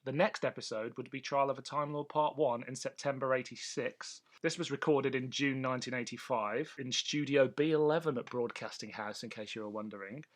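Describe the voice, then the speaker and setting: Guy co-hosting a podcast, normal pitch, chatty, kinda informative trivia stuff. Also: a little fast, hardly any pauses. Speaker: podcast co-host. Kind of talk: casual chat about a vintage TV and radio show.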